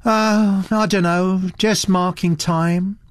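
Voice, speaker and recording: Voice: mid-range voice, fairly even intonation, takes it slowly, no room sound, voice pushed up, natural voice. Performer doing a lazily drawling, insolent young woman. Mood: bored. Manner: lazy drawl, indifferent. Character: lazy, insolent young woman artist, amused and indifferent.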